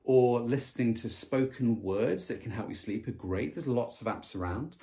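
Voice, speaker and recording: A man talking through advice in a relaxed, unscripted way. His voice sits at an ordinary middle pitch. He hesitates often, filling gaps and restarting his sentences. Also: moderate pace. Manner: hesitant, many fillers and restarts. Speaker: co-host. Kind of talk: casual advice chat.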